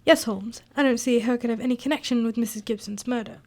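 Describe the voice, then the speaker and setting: A woman playing a puzzled, loyal gentleman companion and storyteller in a radio drama, earnest and old-fashioned in phrasing. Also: on the high side, quick pace, lightly acted.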